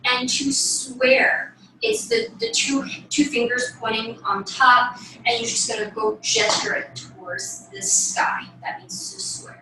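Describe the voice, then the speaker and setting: A woman's voice: on the high side, big pitch swings, slow, few pauses. A young woman teaching a ballet lesson to camera, explaining casually and often checking in with a tag question. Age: young adult. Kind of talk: instructional demonstration, explaining to camera. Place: small bedroom, indoors. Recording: echoey room, distant mic, faint noise.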